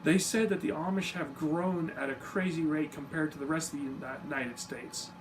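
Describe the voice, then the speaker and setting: A man's voice: on the high side, fairly quick. Easygoing man, distant mic, noisy. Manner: easygoing, self-deprecating, informal asides. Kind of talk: casual explanatory talk to camera. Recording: distant mic with steady background noise.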